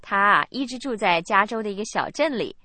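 A woman's voice: medium pitch, animated, a little fast, bright, forceful tone, dry recording. A woman co-hosting a scripted radio language lesson, explaining in a chatty way into a studio mic.

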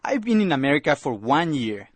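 Friendly young college student: husky, lively delivery, dry close sound. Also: male voice, a little high, a little fast, no room sound. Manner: friendly, chatty. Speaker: dialogue actor. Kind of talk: scripted radio lesson dialogue.

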